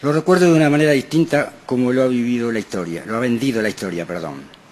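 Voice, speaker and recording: A man's voice: medium pitch, fast talker, a little mellow. A man co-hosting a radio show, reading a printed interview aloud. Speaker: radio co-host. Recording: noticeable background noise.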